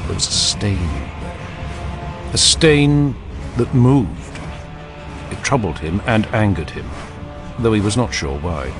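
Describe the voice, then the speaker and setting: Male audiobook narrator, solemn, dramatic, deliberate, expressive pitch movement, music behind him.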